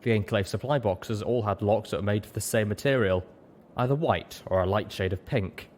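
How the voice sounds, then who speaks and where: A man's voice: on the low side, moderate pace, a little mellow, slight background noise. A man reading scripted first-person story narration as an audiobook narrator.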